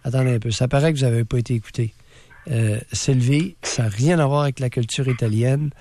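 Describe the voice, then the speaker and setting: A man's voice: medium pitch, a little fast, mellow, no room sound. A man with a Québécois accent co-hosting a call-in radio show, firmly interrupting and challenging the caller. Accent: Québécois French. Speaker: radio co-host, doctor.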